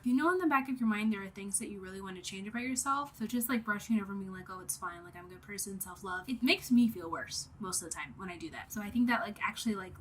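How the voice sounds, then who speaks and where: A young woman with a cold and slightly sniffly, talking candidly and rambling to camera in a reflective personal vlog, with many fillers and the odd soft whisper. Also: medium pitch, a little hiss or hum, rough recording. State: has a cold, a bit sniffly. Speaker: vlogger.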